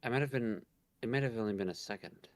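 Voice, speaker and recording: A man voicing a despairing man stranded in a timeless void, in a slow dramatic visual novel monologue. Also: medium pitch, a little mellow, close to an everyday voice. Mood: dazed.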